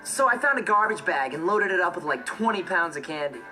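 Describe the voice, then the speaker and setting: A man's voice: high-pitched, lively intonation, fairly quick, poor audio. A mischievous teenage stepbrother in a sitcom. He tells things in a casual, dry and smug way, laid-back throughout. Music plays behind the voice. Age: teenager to young adult. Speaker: sitcom lead. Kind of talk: sitcom monologue to audience.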